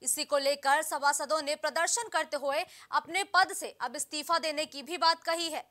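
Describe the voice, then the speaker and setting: High, bright-voiced young female news anchor. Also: steady tone, normal speed. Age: young adult. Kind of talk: scripted Hindi news bulletin reading.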